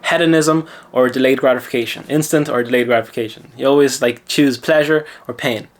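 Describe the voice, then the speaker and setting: A man's voice: mid-range voice, fairly even intonation, a little fast. A man from Lithuania with an Irish accent, a mindset coach telling a gym story in casual talk and then giving self-assured advice. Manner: chatty, self-assured, lecturing.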